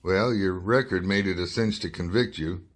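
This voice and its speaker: An actor playing a dogged newspaper reporter in a radio detective drama, probing and wry in a hard-boiled way. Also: male voice, deep voice, moderate pace, close to an everyday voice. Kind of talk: radio detective drama acting and first-person narration.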